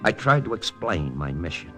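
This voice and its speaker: An actor playing an earnest, persuasive American wartime intelligence lieutenant in an old radio drama, with an urgent, dramatic delivery. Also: male voice, low-pitched, big pitch swings, normal speed. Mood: somber narrating. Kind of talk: 1940s-50s radio drama acting with first-person narration. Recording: vintage radio recording with faint music.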